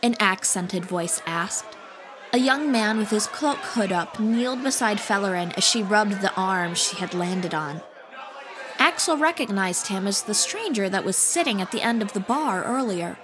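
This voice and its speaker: A woman's voice: mid-range voice, expressive, normal speed, crowd noise, noisy background. A woman reading scripted fantasy audiobook narration as the storyteller. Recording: music bed behind voice.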